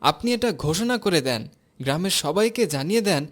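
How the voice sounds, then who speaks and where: Kindly high-voiced village imam played by a man. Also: animated, brisk. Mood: approving. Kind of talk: cartoon dialogue.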